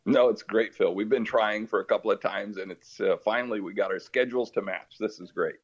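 A man's voice: fairly low pitch, fairly quick, slightly rough, highs cut off. A man in his fifties from Brazil, a native Portuguese speaker, talking casually as a missionary interview guest in a friendly, rambling way.

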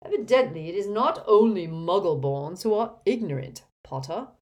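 A woman's voice: fairly low pitch, animated, normal speed, a little mellow, muffled recording, lightly acted. A woman voicing a pompous, indignant old male wizard in a talking portrait, in an audiobook. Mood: scornful, weary. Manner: haughty, supercilious, scornful. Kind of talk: audiobook character acting.

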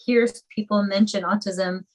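A woman's voice: mid-range voice, fairly even intonation, a little slow, low-quality recording. Earnest woman sharing her story. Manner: earnest, heartfelt, talks in long flowing runs. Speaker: podcast guest, mother and singer. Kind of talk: personal interview conversation.

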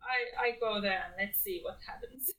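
A woman's voice: mid-range voice, moderate pace, a crowd in the background, poor audio. A woman from Finland in a casual podcast chat, using simple phrasing and giggling often.

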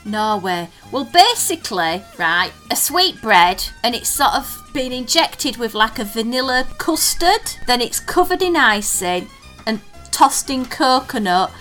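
A woman's voice: fairly high pitch, very animated, unhurried, sharp tone, soft background music. A woman co-hosting a casual podcast chat, teasing her co-host and reading out listener messages. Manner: chatty, teasing, reads listener tweets aloud.

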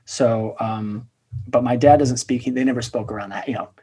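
A man with a slightly husky voice, talking loosely on a podcast. He rambles and circles back, full of fillers and restarts, at a middling pitch. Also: steady tone, normal speed, dark tone, poor audio. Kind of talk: casual chat.

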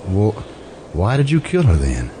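A man's voice: on the low side, huge pitch swings, unhurried. A man playing a weary, skeptical questioner in an old-time radio drama, blunt, dry and matter-of-fact. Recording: noisy amateur radio drama recording.

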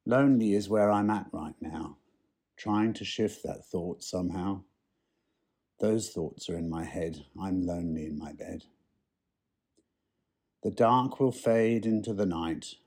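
A man with a husky voice giving a poetry reading. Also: low voice, measured pace, pauses often, a little mellow. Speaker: poem reader.

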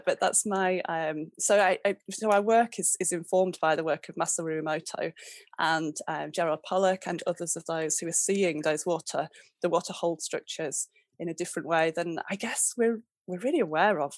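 A woman's voice: fairly low pitch, normal speed, no echo. A woman guest on an interview, talking in a chatty, rambling way with many restarts. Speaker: guest homeopath. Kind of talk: conversational interview.

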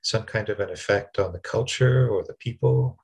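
A man with a very low, gravelly voice asks his questions at a measured, thoughtful pace. His tone is reflective, and he sounds like an experienced interviewer. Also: a little mellow.

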